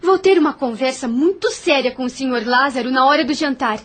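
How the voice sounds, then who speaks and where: A woman's voice: sits high, a little fast. A woman acting a determined, compassionate young woman in an audio drama, speaking formally with earnest emphasis. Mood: firm, outraged.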